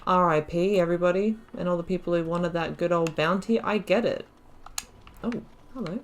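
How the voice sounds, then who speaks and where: A woman gives casual running commentary as host of a game video. Her voice sits a little low for a woman, and she is chatty, dropping playful slang and asides as she plays. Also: fairly quick, a little hiss or hum.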